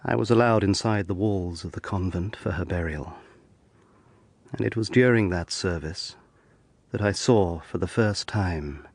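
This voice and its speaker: An actor playing an earnest young eighteenth-century lawyer, narrating his tale gravely and intensely in an audio drama. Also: sits low, exaggerated voice. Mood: solemn, reverent.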